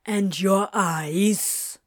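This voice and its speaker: A woman voicing a swaggering, sardonic male demon in an audio story, with a drawling, forceful delivery. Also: lowish voice, steady tone, takes it slowly, pitched below the performer's own voice. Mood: accusing.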